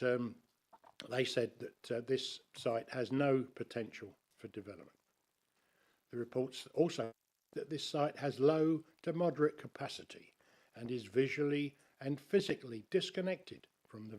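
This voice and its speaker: A man reads a prepared objection to a committee in a council chamber, measured and formal. He slips in a few dry asides. His pitch sits in an ordinary middle range. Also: a little mellow. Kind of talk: reading a prepared statement to a committee.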